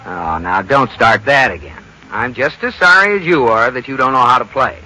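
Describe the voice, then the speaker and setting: An actor playing an exasperated, defensive husband in an old radio sitcom, with a husky, blustery delivery. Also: male voice, medium pitch, lively intonation, moderate pace, pushed and bright, poor audio. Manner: blustery, emphatic. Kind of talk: 1940s-50s radio sitcom acting.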